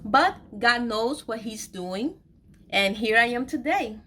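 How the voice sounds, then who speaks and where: A woman's voice: a little high, lively intonation, slow, noisy background. Emphatic woman telling her own story. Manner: emphatic, repeats phrases for stress; candid, confiding. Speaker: host of an online video series, author telling her own story. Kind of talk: personal storytelling to camera.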